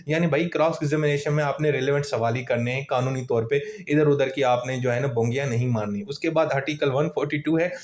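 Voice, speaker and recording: A man with a Pakistani accent giving an informal, explanatory law lesson, chatting to students and mixing in English terms. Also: mid-range voice. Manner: conversational, addresses listeners casually, mixes in English terms. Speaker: law lecturer.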